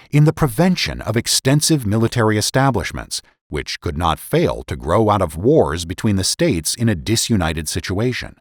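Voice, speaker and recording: A man narrating a formal historical essay as a dramatic reading, with deliberate, oratorical phrasing and emphatic delivery. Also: fairly low pitch, no room sound, clean studio sound. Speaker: podcast host and narrator.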